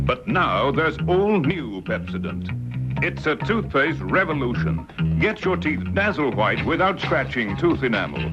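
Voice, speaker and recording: A man announcing a vintage radio commercial in an emphatic, salesmanlike style. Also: mid-range voice. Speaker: advert announcer. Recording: dull old radio ad over music.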